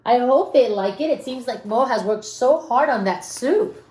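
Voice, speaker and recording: A woman reads a children's story in a roomy, echoing space. Her pitch sits fairly high and swings widely. She takes her time, pausing for drama and putting questions to young listeners. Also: expressive, a little mellow. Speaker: storybook reader. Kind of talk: animated children's read-aloud with asides to listeners. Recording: roomy, echoing room sound.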